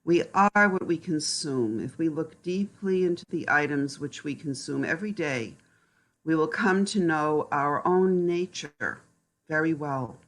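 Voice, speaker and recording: A middle-aged woman reading gently and deliberately about mindful eating, in a calm meditative manner. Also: sits low, poor audio.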